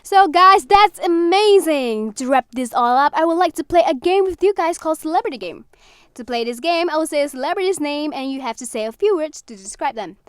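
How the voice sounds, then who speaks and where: A woman hosting a student radio show, reading entertainment news in a bright, enthusiastic way. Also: high voice, lively intonation, brisk, dry recording, clean studio sound.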